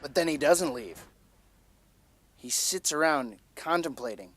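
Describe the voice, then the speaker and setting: A man's voice: fairly high pitch, animated, a little slow, a bit bright. A man giving enthusiastic film scene commentary as a host, with emphatic, dramatic phrasing.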